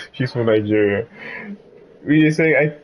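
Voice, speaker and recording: A man's voice: medium pitch, breathy edge. A man giving casual let's-play commentary, chatting and laughing with someone off-mic. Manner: chatty, laughs and talks aside to someone in the room. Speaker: gaming streamer.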